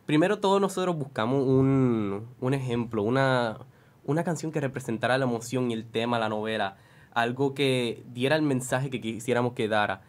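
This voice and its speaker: Young male guest, Puerto Rican accent, mid-range, casual and slightly halting as he explains his work. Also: medium pitch. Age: young adult. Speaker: student audio editor, guest. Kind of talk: conversational interview answers.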